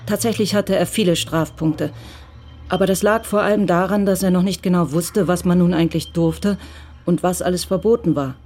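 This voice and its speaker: A narrator telling a crime radio play in even, measured, scripted narration. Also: medium pitch.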